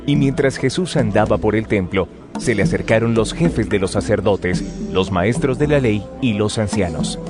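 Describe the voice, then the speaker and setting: A man's voice: fairly low pitch, moderate pace. A man narrating dramatized scripture in a scripted audio production. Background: music.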